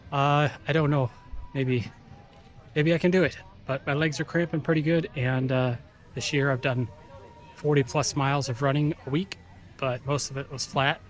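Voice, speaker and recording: A tired, hoarse man talking to his camera outdoors on a trail during a long race, weary and self-mocking, swearing freely. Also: mid-range voice. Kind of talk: casual self-filmed race vlog. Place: outdoors on a hot wooded trail.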